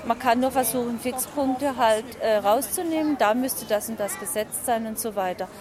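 High-voiced woman farmer amid market chatter. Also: moderate pace. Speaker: small farmer, market seller. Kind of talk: informal interview answer. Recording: busy outdoor market chatter.

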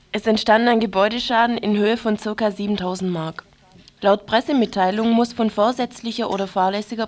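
Woman reading radio news, mid-pitched. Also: darkish tone. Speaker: radio newsreader.

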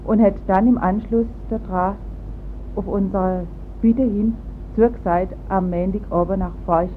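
A woman with a Baden Alemannic accent hosting a radio programme in an informal moderating style. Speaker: radio host. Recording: muffled phone-like sound, rumbling noise.

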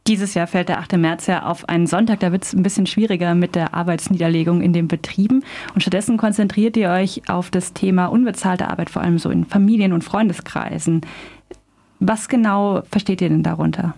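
Gravelly-voiced woman radio host. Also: on the low side, steady tone, darkish tone. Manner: measured, scripted delivery. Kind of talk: radio report and studio interview. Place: radio studio.

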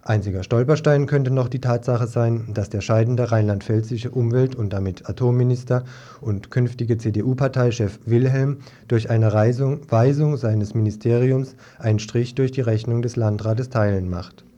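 Male news reader, mellow and dark, scripted report, dull old radio tape sound. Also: mid-range voice, fairly even intonation, normal speed.

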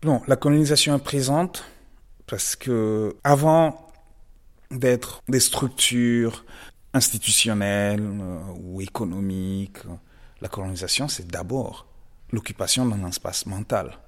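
A man from the DR Congo, a guest artist giving measured, reflective interview answers with frequent pauses and emphatic rises. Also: lowish voice. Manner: measured and thoughtful, pausing often, rising to emphatic stresses.

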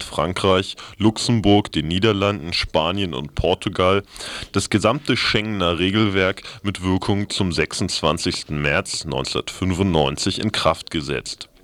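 Deep-voiced male radio presenter. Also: unhurried, fairly bright tone, no echo. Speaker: radio presenter and news reader. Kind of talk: scripted radio news reading.

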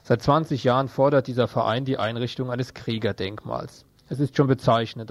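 A man's voice: medium pitch, vocal fry, no room sound. A man presenting and reading news items on a radio programme.

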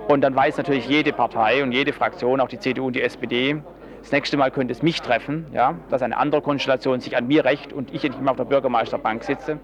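A man answering as a politician in an interview, explaining in formal, measured and carefully worded language. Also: mid-range voice, steady tone, dull audio.

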